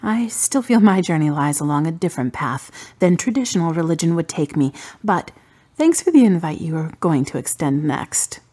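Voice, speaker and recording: A guarded, independent-minded woman in mourning, played by an actress in a radio drama. Her voice is lowish and husky with breath in it, and she speaks in a measured, dry, reserved way with a wry edge. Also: very animated, dark tone, full character voice. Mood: reflective, wry.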